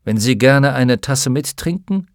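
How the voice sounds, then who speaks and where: A gaunt, grey-streaked older shaman and scholar, voiced by a male narrator, unhurried and measured. He offers his words with quiet hospitality. The sound is dry and close. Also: medium pitch, animated, no echo. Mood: calm. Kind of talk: audiobook character voice.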